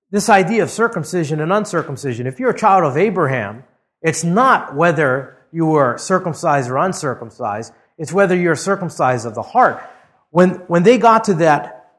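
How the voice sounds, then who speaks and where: A middle-aged man from Hawaii, preaching a sermon. He reads scripture aloud with rising emphasis, then talks conversationally with some fillers. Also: fairly high pitch. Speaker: pastor. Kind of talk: expository sermon.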